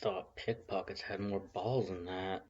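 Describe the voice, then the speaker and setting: A reader doing a gruff, growling streetwise skeleton. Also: low voice, steady tone, a little slow, a little mellow. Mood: growling, threatening. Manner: growling, rough slangy grammar, muttering. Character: Red, gruff streetwise skeleton older brother. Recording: dull lo-fi recording.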